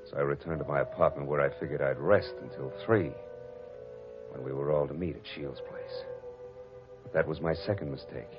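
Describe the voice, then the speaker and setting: Tough wisecracking noir detective, real low voice, old timey radio drama, muffled sound. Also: male voice. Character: hard-boiled, wry male private detective. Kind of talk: 1940s radio acting, first-person noir narration. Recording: dull old radio recording with music.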